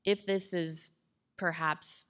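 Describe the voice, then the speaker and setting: A woman in her thirties speaking in an articulate, measured way as an interview guest on stage. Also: fairly low pitch, fairly even intonation, a bit bright. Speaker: guest expert on climate psychology. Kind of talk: thoughtful interview answers on stage. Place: indoor stage with wood-slat backdrop. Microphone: microphone on boom stand.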